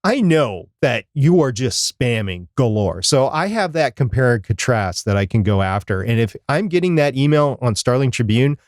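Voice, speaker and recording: A man's voice: mid-range voice, very animated, moderate pace, few pauses, dry recording, studio quality. A man speaking as a podcast co-host in a casual, conversational chat.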